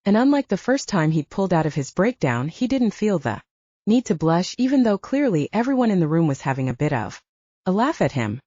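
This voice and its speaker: Low, mellow woman narrating fiction with lively swings. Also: fairly quick, no echo, dull audio. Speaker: audiobook narrator.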